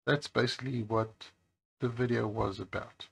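A man with a low voice talking slowly and casually at his workbench, with many pauses and hesitations. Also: gravelly, breathy edge. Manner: slow, relaxed, with many hesitations. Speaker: phone repair channel host. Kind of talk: casual talk to camera while working. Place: workbench by a highway.

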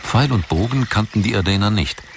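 A man narrating a scripted documentary in a measured, articulate manner. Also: lowish voice, lively intonation, gravelly, heavy background noise.

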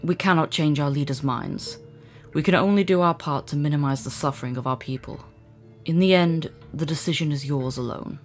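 Woman as a low, stern female gem commander. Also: soft background music, natural voice. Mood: grave, resigned. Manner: grave, commanding, deliberate. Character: stern, imposing adult female gem commander, grave and insistent. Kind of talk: dramatic cartoon comic dub.

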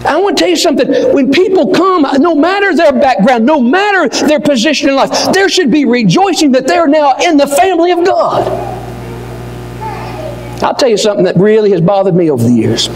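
An older man preaching a sermon from a pulpit, swinging from near-shouts down to low, slow words. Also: very animated. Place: church auditorium. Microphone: pulpit mic, wide far camera. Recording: echoey hall with long reverb.

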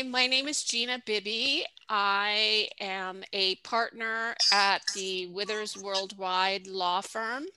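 A middle-aged woman lawyer introducing herself on a video call panel, speaking in a measured, deliberate way. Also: medium pitch, bright, forceful tone, smooth, no echo, rough recording. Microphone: headset on video call.

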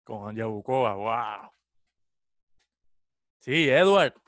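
A man's voice: lowish voice, expressive, extremely slow, a bit bright, no echo, studio quality. A man, hosting a casual chat on a video call. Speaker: interviewer, host.

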